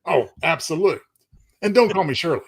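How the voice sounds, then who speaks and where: Slightly high-voiced male co-host. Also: animated, quick pace. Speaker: show co-host. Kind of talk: casual livestream chat.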